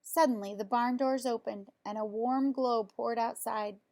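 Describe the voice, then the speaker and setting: A woman reading a children's picture book aloud in an expressive way, as if to young listeners. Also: fairly high pitch, measured pace. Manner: expressive, explains words simply to children. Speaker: storybook reader.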